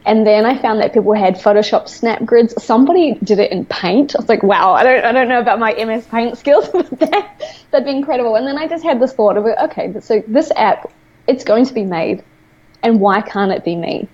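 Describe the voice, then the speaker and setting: A woman's voice: on the high side, lively intonation, a little fast, darkish tone, breathy edge. A woman from New Zealand with a New Zealand accent tinged with Australian, chatting casually as an interview guest and laughing often. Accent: New Zealand with some Australian. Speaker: guest, app founder. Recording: dull lo-fi remote call audio.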